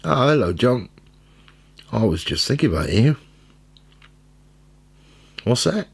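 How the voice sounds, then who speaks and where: An actor playing a weary, reflective investigator who narrates a radio play in a wry, brooding, creaky voice. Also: male voice, mid-range voice, very animated, slow, heavily acted. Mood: wary, placating. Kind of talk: scripted first-person radio drama narration. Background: music.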